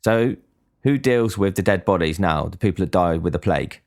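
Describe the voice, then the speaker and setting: A man's voice: low voice, lively intonation, brisk. A young man giving a blunt, sweary casual monologue as a podcast host. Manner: blunt, swears freely, ranting.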